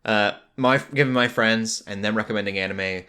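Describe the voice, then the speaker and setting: A man's voice: lowish voice, moderate pace, bright, forceful tone. A man hosting a casual pop culture podcast, chatting and recommending things enthusiastically. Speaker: podcast host.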